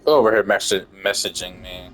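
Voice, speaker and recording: A man's voice: sits low, fairly quick, a bit bright. Blunt, slangy male gamer. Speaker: gamer reacting to game story. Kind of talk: casual gameplay commentary. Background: faint game music.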